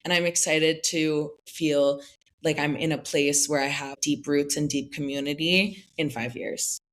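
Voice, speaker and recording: Young woman, interviewee, lower voice, relaxed reflective answers peppered with "like.". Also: unhurried. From United States. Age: young adult. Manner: thoughtful, many "like" fillers, light laughs.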